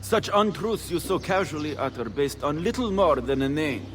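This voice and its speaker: An actor playing a cultured, principled gentleman in a game cutscene, articulate, lofty and reproving. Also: a little high, lively intonation, moderate pace, background noise, natural voice. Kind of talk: dramatic video game cutscene acting. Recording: music under the dialogue.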